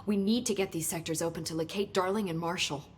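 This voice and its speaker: An actress playing a businesslike female colleague who talks in a brisk, matter-of-fact way. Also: lowish voice, a little hiss or hum, lightly acted. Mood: focused.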